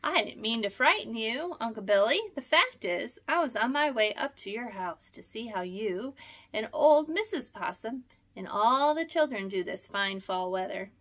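A woman's voice: on the high side, lively intonation, moderate pace, a bit bright. A woman doing an eager young rabbit. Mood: curious. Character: curious young male rabbit, mischievous.

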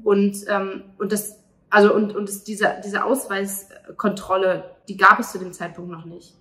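Earnest woman in her thirties, medium pitch. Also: level tone, unhurried, fairly bright tone. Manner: earnest, reflective. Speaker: playwright and actress. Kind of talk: interview answer, conversational. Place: indoors at a restaurant table by a window. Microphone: handheld microphone held by interviewer.

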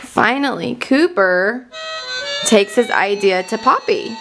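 A woman reading a children's storybook aloud in an excited, expressive manner and enunciating for young listeners. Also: medium pitch, slow, over music.

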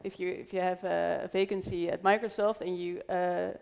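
A young woman moderates a panel in a large hall, her voice smooth and clear against the long hall reverb. She asks her questions hesitantly, stopping on fillers as she works them out. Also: on the low side, flat delivery, moderate pace, darkish tone. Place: large hall with a live audience.

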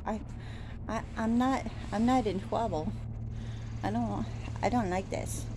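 Slow, rambling woman vlogging outdoors. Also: fairly high pitch. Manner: rambling, slow, with many fillers and restarts. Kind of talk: casual walk-and-talk vlog. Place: outdoors by a store, daytime. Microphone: phone held at arm's length. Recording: outdoor phone recording with traffic noise.

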